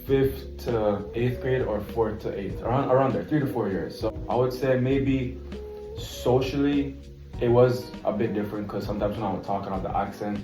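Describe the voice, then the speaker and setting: A man's voice: mid-range voice, normal speed, distant mic. A young man from Jamaica, a college freshman, chatting casually in an interview and calling the interviewer bro. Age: young adult. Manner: relaxed, says bro a lot. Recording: roomy, off-mic, music behind.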